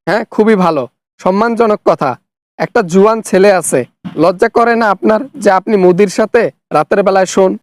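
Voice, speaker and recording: A man's voice: high voice, brisk, no echo. A man with a Bangladeshi accent hosting a satirical cartoon skit, speaking in a scolding, sarcastic way. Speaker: parody channel host, accuser in skit.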